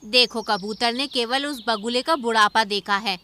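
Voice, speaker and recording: A woman's voice: on the high side, moderate pace, sharp tone, a little hiss or hum. A woman voicing a sensible, cautious bird auntie in a cartoon, reasoning matter-of-factly. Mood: measured.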